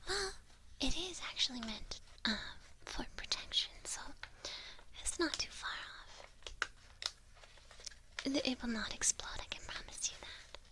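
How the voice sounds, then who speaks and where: A woman playing a sly witch who tutors an apprentice in a whispered ASMR roleplay, speaking slowly with soft, deliberate teasing. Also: high voice, animated. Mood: gentle, instructive. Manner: slow, softly teasing, deliberate.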